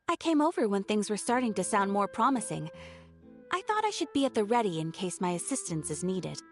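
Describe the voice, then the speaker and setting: A dutiful robot receptionist from a video game. She is formal and courteous, with a mid-pitched, lilting delivery over music. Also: very animated, a little fast. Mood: helpful, formal. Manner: formal, polite.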